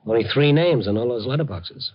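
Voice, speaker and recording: A man's voice: mid-range voice, lively intonation, a little fast, lightly acted. An American man acting in a 1950s radio drama as a tough, wry, kindly newspaperman who narrates his night story in an understated way. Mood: wry, probing. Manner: wry, hard-boiled, understated. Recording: dull, band-limited 1950 radio recording with music.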